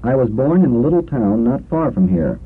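A man slowly and carefully reading drill sentences for a language lesson. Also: medium pitch, big pitch swings, slightly rough, phone-quality sound.

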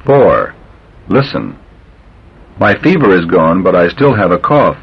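A man reading English drill sentences for a language tape, enunciating slowly and deliberately. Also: low voice, big pitch swings, creaky, husky. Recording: dull old language tape.

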